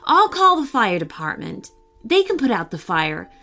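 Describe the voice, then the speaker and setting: An anxious, protective nanny from a storybook, as a woman reads her, firm and worried. Her voice is fairly high and expressive, with faint music behind. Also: normal speed, full character voice. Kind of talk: storybook reading.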